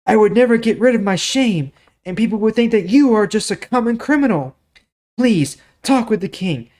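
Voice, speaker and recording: A distressed young princess, acted by a man reading scripture aloud, pleads in a raised, high voice. She protests emphatically, in the manner of a dramatic storybook reading. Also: lively intonation, normal speed, darkish tone. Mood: pleading.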